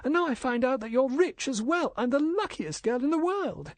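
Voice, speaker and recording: A man raises his voice high to play a young British wife in an audiobook story. She is adoring and emotional, gushing on the edge of tears, in a dry recording. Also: big pitch swings, normal speed, no room sound, exaggerated voice. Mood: tearfully adoring.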